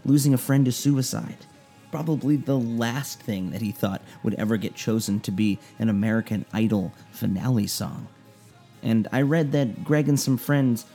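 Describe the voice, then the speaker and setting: A man's voice: mid-range voice, creaky, warm tone. A man hosting a radio show, talking in an enthusiastic, conversational way with playful asides. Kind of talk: scripted intro and interview.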